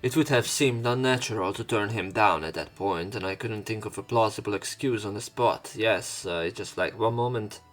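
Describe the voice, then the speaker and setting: Low-voiced man narrating a game. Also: moderate pace, few pauses, faint music behind. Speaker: let's play host. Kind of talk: narration and commentary of a visual novel.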